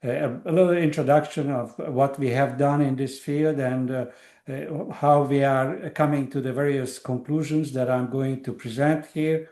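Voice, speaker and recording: A man's voice: mid-range voice, steady tone, normal speed, mellow, reverberant. Hesitant older man lecturing on a call. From Hungary. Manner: hesitant, many uh fillers and restarts. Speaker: guest lecturer. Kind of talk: academic online lecture. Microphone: video call.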